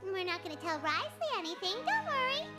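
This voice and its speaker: Tiny game fairy girl, piping high voice, animated, cheerful, blunt, loud music behind. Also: fairly quick. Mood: reassuring. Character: tiny cheerful floating fairy companion girl, childlike and chatty. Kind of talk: video game voice acting.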